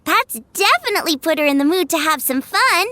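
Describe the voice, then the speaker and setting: A woman's voice: extremely high pitch, animated, a little fast, pushed and bright, dry recording, exaggerated voice. A woman voicing a cheerful, chatty young girl in an anime game.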